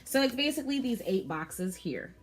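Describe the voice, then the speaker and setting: A woman's voice: on the high side, very animated, moderate pace, over music. A woman in her thirties chatting candidly to camera about planning, with frequent ums and you-knows. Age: 30s. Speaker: planner vlogger. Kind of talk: casual chat to camera.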